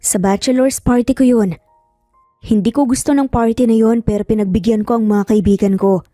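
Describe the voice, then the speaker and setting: A woman's voice: fairly high pitch, fairly even intonation, moderate pace, warm tone, dry recording, lightly acted. Serious heavy-hearted father, acted by a woman, earnest, dry close sound, music underneath, audio storybook. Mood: grave confession.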